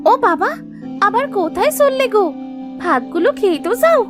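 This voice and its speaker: A caring little village girl from a cartoon folktale acted by a woman. Her voice is extremely high and sing-song, sweet and soothing, with music behind it. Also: big pitch swings, normal speed. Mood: concerned. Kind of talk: cartoon fairy-tale voice acting.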